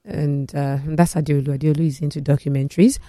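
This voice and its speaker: A man hosting a casual studio talk show, reading viewer messages with lively, teasing questions. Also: on the high side, quick pace, dry recording.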